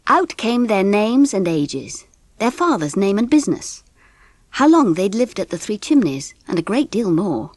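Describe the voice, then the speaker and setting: A woman playing a gentle English mother, narrating a dramatised children's audiobook expressively. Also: medium pitch, a little slow, no echo. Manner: expressive storytelling.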